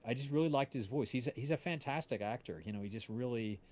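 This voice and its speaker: A man's voice: mid-range voice, fast, darkish tone, slight background noise. American man, hesitant casual talker. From New Jersey, United States. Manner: hesitant, rambling, searching for words. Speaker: podcast livestream host and casting director. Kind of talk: casual chat.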